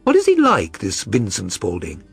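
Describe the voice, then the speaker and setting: Bloke reading as a brisk gentleman detective, precise n probing, slightly husky, really lively pitch. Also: soft background music, heavily acted. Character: gentleman detective, brisk, incisive, self-assured. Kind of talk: audiobook character reading.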